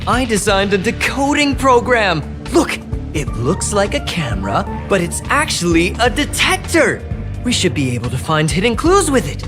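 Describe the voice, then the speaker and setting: A nervous, self-doubting space station engineer for a video game. His pitch jumps around in an animated way, and he sounds earnest and hesitant. Music plays clearly behind his voice. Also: moderate pace. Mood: eager, modest. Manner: hesitant, stammering, earnest and excitable. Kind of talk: expressive video game dialogue acting. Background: background music.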